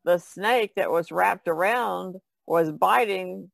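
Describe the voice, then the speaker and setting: A woman talks casually as a podcast co-host. Her voice has a noticeable creak, and it is recorded dry and close. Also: lowish voice, slow, dry recording. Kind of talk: casual chat.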